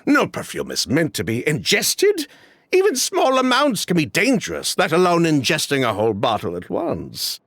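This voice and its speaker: Smooth, evasive ex-swindler. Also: male voice, fairly high pitch, huge pitch swings, normal speed, fairly bright tone, no echo, heavily acted. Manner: evasive, chatty, with dismissive laughs. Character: smooth middle-aged male ex-perfume swindler. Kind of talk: video game voice acting.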